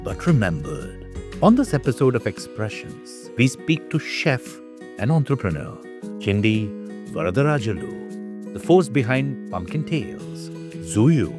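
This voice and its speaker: Male voice-over for an episode intro, expressive and dramatic, music bed. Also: medium pitch. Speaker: show narrator. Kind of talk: scripted narration.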